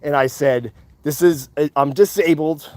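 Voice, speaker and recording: A loud, defiant man rants to his viewers in a high-pitched voice. He swears freely and hammers his points home by repeating them. The sound is dry and close. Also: a little slow, dry recording. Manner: profane. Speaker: activist channel host. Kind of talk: fired-up monologue to viewers.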